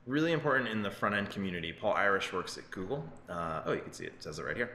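Low-pitched young man, bright voice. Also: very animated, racing. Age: young adult. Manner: informal, joking, slangy. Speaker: co-host. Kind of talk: casual tech news chat. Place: indoor open office with people working behind. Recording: roomy echo, some background noise.

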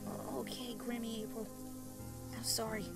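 A performer voicing a small, timid, earnest turtle child in a motion comic, speaking haltingly. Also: medium pitch, flat delivery, gravelly, close to an everyday voice. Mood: sheepish, sorry. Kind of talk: scripted motion comic voice acting. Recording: loud music behind the voice.

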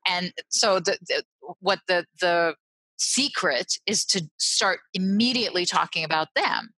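Woman, 40s, interview guest. Blunt, animated, bright and punchy, dry close headset sound. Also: on the low side, measured pace, no room sound, rough recording. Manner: blunt, animated, self-interrupting, laughs often. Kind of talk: casual interview over video call. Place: small room, indoors. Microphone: headset mic.

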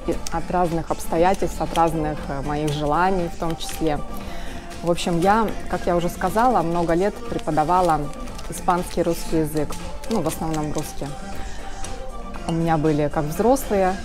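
A woman in her thirties from Russia, talking casually in an interview in a small room with sofas, pausing on filler sounds and correcting herself. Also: deep voice. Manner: rambling, many filler sounds, self-corrections. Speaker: guest, video blogger. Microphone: camera-mounted shotgun mic beside her.

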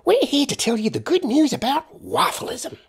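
A man's voice: very high voice, huge pitch swings, moderate pace, creaky, voice pushed up, exaggerated voice. A man voicing a cheerful, amiable American woman earnestly spreading her creed in an audiobook. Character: cheerful, unflappable short stout American woman, zealous doorstep evangelist. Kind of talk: audiobook character voice.

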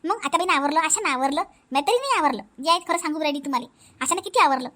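A woman's voice: high voice, lively intonation, very fast, a bit bright. A woman voicing an outspoken, protective elder sister-in-law in a family cartoon, emphatic and repetitive, with a Vidarbha Marathi accent. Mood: scolding. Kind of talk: animated family comedy acting.

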